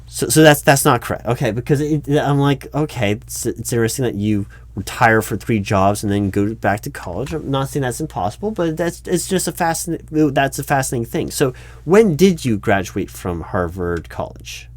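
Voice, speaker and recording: A man playing a politely incredulous recruiting manager in an improvised audio roleplay, speaking aloud in a halting, stammering way and repeating words. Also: mid-range voice, expressive, lightly acted. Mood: puzzled, incredulous. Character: flustered, politely incredulous male recruiting manager interviewing someone. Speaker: job interviewer. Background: computer keyboard typing.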